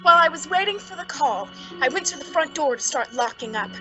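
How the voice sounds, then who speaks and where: An actress playing an anxious, reclusive spinster with a hesitant, fretful delivery in an old-time radio suspense drama. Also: female voice, sits high, expressive, fairly quick, bright, forceful tone, faint music behind. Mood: brooding. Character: anxious reclusive spinster, the town's queer one.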